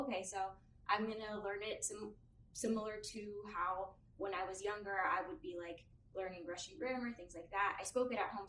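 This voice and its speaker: A young female student speaks earnestly in a relaxed panel chat. The mic sits some distance away in an echoing room, with a faint hum underneath. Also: mid-range voice. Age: young adult. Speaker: university student. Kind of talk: candid panel discussion.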